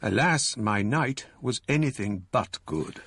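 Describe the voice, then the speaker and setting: A man giving grave, measured narration of a period ghost story for radio drama. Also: mid-range voice, expressive, fairly bright tone.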